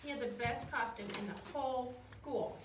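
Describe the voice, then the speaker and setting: Woman reading kids books out loud, high voice, kinda far from the mic, windy and noisy outside. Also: normal speed. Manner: simple expressive storytelling for young children. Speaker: storyteller reading children's books aloud. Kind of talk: read-aloud of children's picture books with casual asides. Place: outdoors in the wind, with animals. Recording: echoey, distant, noisy windy outdoor recording.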